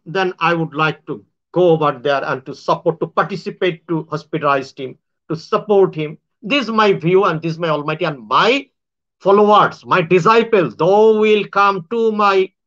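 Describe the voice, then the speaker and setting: Halting Bangladeshi man, high voice. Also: a bit bright, highs cut off. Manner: halting, repetitive phrasing with frequent restarts. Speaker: astrologer and self-styled spiritual speaker. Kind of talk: monologue to viewers.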